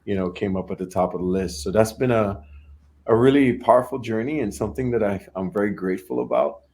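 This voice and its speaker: A man's voice: on the low side, quick pace, gravelly, darkish tone. A young adult man from Trinidad and Tobago, a startup founder talking casually as an interview guest, often repeating and restarting his words.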